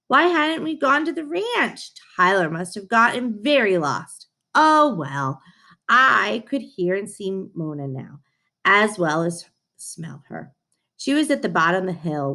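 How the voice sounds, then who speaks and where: A woman reading a storybook aloud to young listeners in an expressive, direct way, as a read-aloud host. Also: medium pitch.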